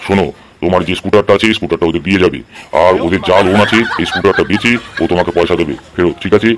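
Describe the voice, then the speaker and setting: A man's voice: low-pitched, fairly even intonation, rapid-fire, background noise, close to an everyday voice. A man voicing a stern, bossy middle-aged village headman in a comedy cartoon, questioning and laying down the law with authority. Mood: authoritative. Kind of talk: amateur comedy cartoon dub.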